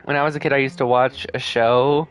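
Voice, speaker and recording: A man's voice: mid-range voice, little pitch movement, brisk, clean tone. A male game streamer with a mid-range voice chats casually over his playthrough. He mutters offhand reactions, with faint music behind him.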